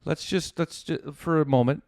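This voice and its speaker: A man co-hosting a podcast who banters in a quick, joking way and teases his partner. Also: on the high side, fairly even intonation, vocal fry, darkish tone, no room sound, clean studio sound. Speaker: podcast co-host, comedian. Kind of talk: casual banter.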